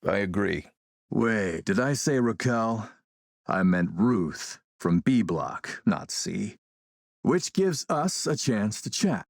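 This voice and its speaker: A man playing a suave video game warlord, coolly polite and mocking, with a measured, sardonic menace. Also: on the low side, very animated, gravelly, no echo, studio quality, full character voice. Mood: suave, needling. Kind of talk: video game villain acting.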